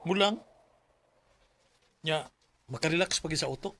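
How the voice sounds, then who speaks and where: A man's voice: on the high side, a little slow, sharp tone, exaggerated voice. A man with a Cebuano Filipino accent playing a disgruntled, protective young man in a radio drama. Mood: coaxing.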